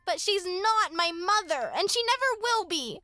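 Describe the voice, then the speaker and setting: A woman's voice: very high voice, expressive, moderate pace, bright, forceful tone, soft background music, exaggerated voice. A woman playing a spoiled, petulant young girl in a horror radio drama. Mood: sulky. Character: spoiled, sulky young girl, vain and lonely.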